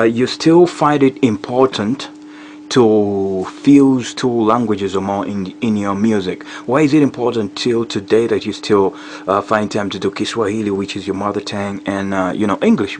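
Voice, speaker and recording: A man's voice: fairly low pitch, moderate pace. Guy interviewer stumbling thru questions, lots of uh and mm-hmm, faint hum. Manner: hesitant, many fillers and restarts, frequent "mm-hmm".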